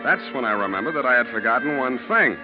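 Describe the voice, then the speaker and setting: An actor playing a wry, streetwise private detective in 1940s American radio style, with a dry, sardonic, slangy delivery. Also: male voice, mid-range voice, expressive, fast, lightly acted. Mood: wry narration. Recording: dull vintage radio recording with music.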